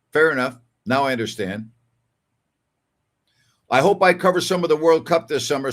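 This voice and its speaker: An older man hosting a casual sports call-in show, talking in a conversational, emphatic way and repeating phrases for emphasis. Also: medium pitch. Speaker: sports talk show host. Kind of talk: casual call-in show chat reading listener messages.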